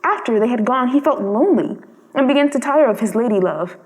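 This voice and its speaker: A woman reads a story aloud as a theatrical narrator. Her voice is on the high side and swings expressively through each carefully phrased sentence. Long reverb surrounds it, as in a hall. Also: lively intonation, a little fast. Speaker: storybook reader.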